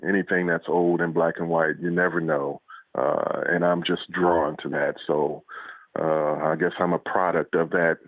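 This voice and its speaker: A man's voice: low-pitched, little pitch movement, normal speed, no echo. A middle-aged man, an author being interviewed, telling his story in a casual conversational way. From United States. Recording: dull, lo-fi radio-like line.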